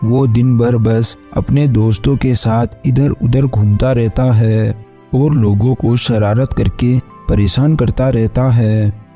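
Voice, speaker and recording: A weary old farmer father from a cartoon , acted by a man, with a very dark, muffled-soft tone at a medium pitch. He pleads earnestly and plaintively. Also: steady tone, takes it slowly, soft background music, lightly acted. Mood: troubled, pleading. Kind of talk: Hindi cartoon voice acting.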